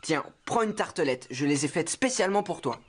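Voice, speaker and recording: A man's voice: on the high side, lively intonation, a little fast, natural voice. A man voicing a friendly, eager, slightly goofy junior firefighter in a children's cartoon, chatty and good-natured. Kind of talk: animated children's cartoon dubbing. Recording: music behind the voice.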